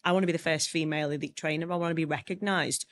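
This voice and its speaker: Scouse woman telling lively stories. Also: sits low, rapid-fire. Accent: Liverpool (Scouse). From Liverpool, England. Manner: lively storyteller, acts out quoted voices. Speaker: guest, public speaker and trainer. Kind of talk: podcast interview.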